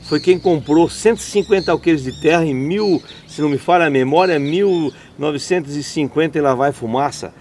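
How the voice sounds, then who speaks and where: A man's voice: fairly high pitch, normal speed, hardly any pauses, darkish tone, a little hiss or hum. Man hosting an interview, leading questions. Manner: leading questions, summarizing remarks. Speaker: interviewer, host. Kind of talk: conversational interview.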